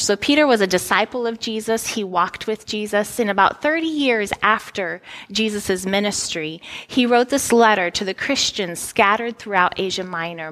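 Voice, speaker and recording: A middle-aged woman teaching a Bible lesson in a relaxed, conversational way, telling a personal story with fillers and restarts. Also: medium pitch. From Italy. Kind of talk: personal story leading into a sermon.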